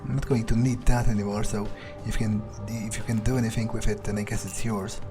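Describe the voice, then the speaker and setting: A man's voice: on the low side, level tone, quick pace, music in the background. Blunt, dry-voiced male gamer in character. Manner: dry, blunt and laconic, with cursing. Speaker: gamer roleplaying his character. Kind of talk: in-character game roleplay commentary.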